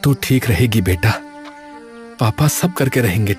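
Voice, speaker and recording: A man voicing a tender, anxious, struggling father in an animated story, with earnest and reassuring speech. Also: lowish voice, measured pace. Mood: tender, evasive. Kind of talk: animated story character dialogue. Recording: music behind the voice.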